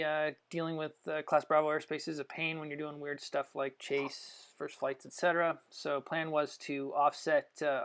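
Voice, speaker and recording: Gravelly-voiced man explaining aviation technicalities. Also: a little high, a bit bright. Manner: technical, halting explanations with pauses. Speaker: test pilot narrating a flight video. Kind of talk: unscripted explanatory voice-over.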